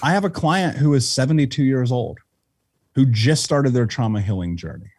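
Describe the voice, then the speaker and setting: A blunt, intense man speaks as a podcast guest and swears freely. His voice is mellow and a little husky. It sounds dry and close on the microphone. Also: mid-range voice, expressive, moderate pace, no room sound. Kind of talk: casual podcast conversation.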